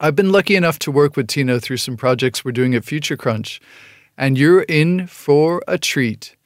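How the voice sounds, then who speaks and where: A man's voice: on the high side, expressive, normal speed, no room sound, studio quality. A man hosting a radio show, reading an upbeat segment and interviewing a guest.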